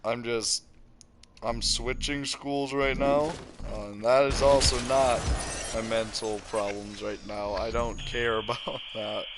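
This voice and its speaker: A teenage boy hosting a gaming channel, rambling and joking over his gameplay with loud sudden reactions and self-aware asides. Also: medium pitch, level tone, slow pace. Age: teens. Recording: clear background noise.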